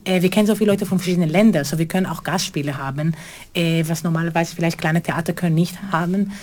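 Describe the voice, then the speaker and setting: Middle-aged choreographer, earnest and halting interview answers, lowish voice. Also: female voice, fairly even intonation, a little mellow. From Israel. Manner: halting, non-native grammar, earnest. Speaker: choreographer and theater co-founder.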